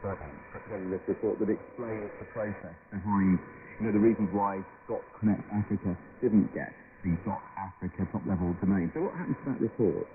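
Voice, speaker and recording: A man's voice: lowish voice, fairly even intonation, fairly quick. A man presenting a radio programme, interviewing guests live. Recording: noisy tinny shortwave radio reception.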